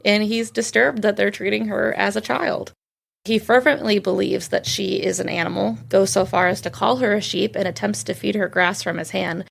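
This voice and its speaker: Woman podcaster with very creaky voice. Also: medium pitch, fairly even intonation, moderate pace. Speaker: podcast co-host. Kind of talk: casual film discussion mixed with read plot summary.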